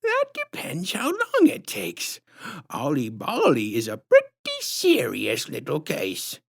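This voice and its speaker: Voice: mid-range voice, huge pitch swings, takes it slowly, vocal fry, pitched above the performer's own voice, exaggerated voice. A narrator voicing a huge, sinister female clown who talks in sickly sweet baby-talk rhymes. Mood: sickly sweet menace. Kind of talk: storybook reading.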